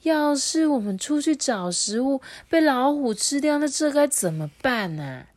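A woman voicing an anxious mother deer who speaks in a drawn-out way. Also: sits high, no room sound, voice pushed up.

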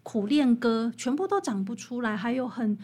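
This woman speaks Mandarin with a Taiwanese accent in a fairly high voice with a husky grain. As an interview guest she explains things earnestly and conversationally, elaborating in repeated, parallel phrases. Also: big pitch swings, moderate pace, dark tone.

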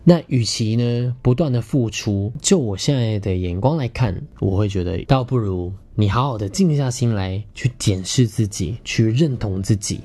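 A man's voice: lowish voice, dark tone, slightly rough. A man with a Taiwanese Mandarin accent hosting a podcast, speaking colloquially and earnestly to listeners as he shares personal stories and relationship advice. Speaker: podcast host.

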